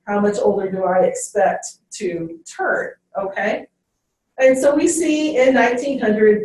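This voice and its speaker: A woman's voice: a little high, a little mellow. A woman teacher giving a classroom lecture, checking in with "okay?" after each point. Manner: ends phrases with "okay?". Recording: very echoey classroom, distant mic.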